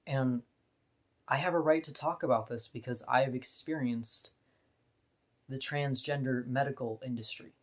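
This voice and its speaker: A woman with a deep voice, talking bluntly and frankly in a candid personal vlog monologue, laughing now and then between points. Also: normal speed. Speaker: vlogger.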